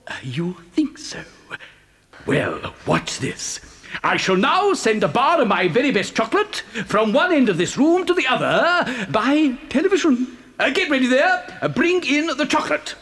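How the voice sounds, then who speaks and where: Nutty excitable chocolate inventor, high swoopy voice, stammery and theatrical, kids audio play, big reverb. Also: male voice, a little slow, full character voice. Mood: boastful, confiding. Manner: theatrical, stammering, hesitant ums and repetitions. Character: excitable eccentric male chocolate factory owner and inventor. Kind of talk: audio dramatisation of a children's storybook. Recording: long hall-like reverb.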